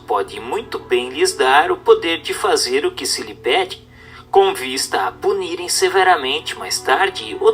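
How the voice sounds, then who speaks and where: A man with a Brazilian accent reads a religious text aloud as a narrator, in a formal, measured, didactic manner over music. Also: fairly high pitch, sharp tone. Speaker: narrator reading a book aloud. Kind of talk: scripted audiobook-style narration of a religious text.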